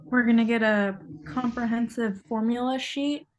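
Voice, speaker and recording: A woman's voice: fairly high pitch, fairly even intonation, normal speed, crowd noise. A woman student asking a hesitant question in an online class.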